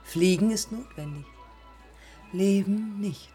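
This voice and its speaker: An actress playing a proud, embittered dead woman aviator in a poetic radio play, speaking in terse, measured fragments. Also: medium pitch, warm tone, faint music behind, lightly acted. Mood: resolute, reflective. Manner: measured, terse, fragmented phrasing with deliberate pauses.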